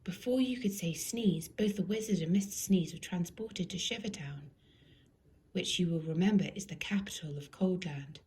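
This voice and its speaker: A woman reads a children's storybook aloud. Her voice is on the low side and she tells it expressively and deliberately. Some background noise is present in the recording. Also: darkish tone. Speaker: storybook reader. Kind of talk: scripted children's story narration.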